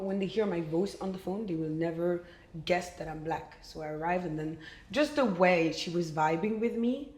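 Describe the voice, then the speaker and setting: A frank young woman from Belgium, speaks English in a slightly low voice. She tells her story in an animated way, full of "like"s and restarts. The room around her rings with a noticeable echo. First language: French. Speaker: podcast guest. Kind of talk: casual conversation. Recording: roomy echo.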